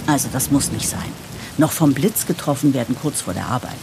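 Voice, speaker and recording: A woman's voice: very deep voice, expressive, fairly quick, vocal fry, noisy background, full character voice. A woman playing a harried, idealistic new managing director who narrates an audio drama in a wry, confiding way. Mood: wry, weary. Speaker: narrator and lead character.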